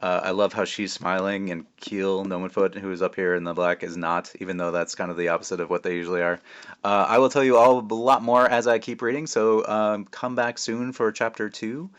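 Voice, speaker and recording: Male author-reader for a children's novel, lowish voice, expressive and wry, drops in offhand asides. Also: fairly quick, clear, resonant tone, dull audio. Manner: expressive, self-interrupting, wry. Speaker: author reading aloud. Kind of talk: animated children's book read-aloud with casual asides.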